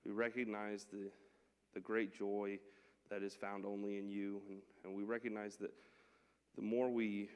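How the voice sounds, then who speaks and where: A man's voice: lowish voice, darkish tone, clean tone. A man leading a church Bible class with prayer and scripture reading, speaking in a conversational, unpolished way. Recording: long hall reverb.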